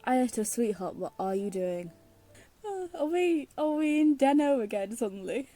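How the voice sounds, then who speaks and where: A woman's voice: on the high side, animated, moderate pace, mellow, soft background music. A woman streaming a video game with casual, rambling commentary, giggly and often interrupting herself. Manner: giggly, self-interrupting, meandering.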